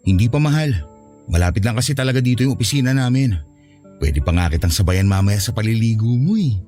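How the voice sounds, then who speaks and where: Casting: man as placating young husband for radio drama, mellow mid-range voice, brisk delivery. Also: medium pitch, lively intonation, soft background music, natural voice. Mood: affectionate. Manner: conciliatory, reassuring. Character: easygoing, placating young husband and dutiful son. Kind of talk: Filipino radio drama acting.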